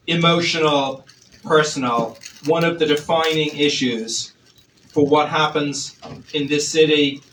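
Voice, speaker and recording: Irish man, organization rep at the mic, halting and measured with lots of fillers, heard from off the mic in a reverberant room. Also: on the high side, a bit bright, slight background noise. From Ireland. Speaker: organization representative. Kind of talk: public welcoming speech. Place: event hall. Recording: roomy, distant hall.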